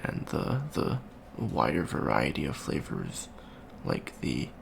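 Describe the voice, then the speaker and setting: A man playing an awkward, bookish young alien college student in an ASMR roleplay, talking softly and hesitantly with many ums and stammers. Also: very deep voice, wildly swooping pitch, slow pace, vocal fry, background noise, exaggerated voice. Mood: awkward, musing. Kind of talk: soft-spoken ASMR roleplay.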